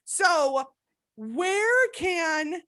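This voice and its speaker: A woman hosting a podcast, with a notably high voice. She gushes over her guest and rattles off playful asides. The chat is loose and unscripted. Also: very animated, pushed and bright. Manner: effusive, gushing, quick asides. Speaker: podcast host. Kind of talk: casual interview chat.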